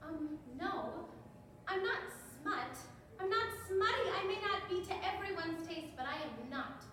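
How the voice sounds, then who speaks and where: A woman's voice: very high-pitched, lively intonation, unhurried, sharp tone, heavily acted. A woman playing a banned novel personified as an earnest witness in a stage courtroom drama, defending herself emphatically and articulately. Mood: defiant, principled. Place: live stage performance in a roomy venue. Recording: echoey hall, distant mic, noisy room.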